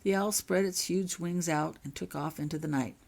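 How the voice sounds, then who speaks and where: A woman's voice: deep voice, moderate pace, vocal fry, darkish tone. A woman reading a scripted story aloud as its author and narrator. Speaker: author reading own fan fiction.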